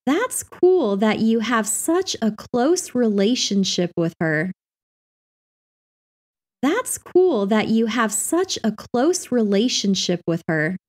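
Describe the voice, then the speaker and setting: A woman reading everyday practice phrases for an English lesson in a clear, carefully enunciated scripted delivery. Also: fairly high pitch, very animated, slow, darkish tone.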